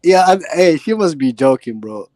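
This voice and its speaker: A man's voice: a little high, expressive, moderate pace, mellow, dry recording. A man with a West Coast US accent chatting playfully in an online voice call, teasing and insistent. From United States. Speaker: chat guest. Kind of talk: casual online voice chat.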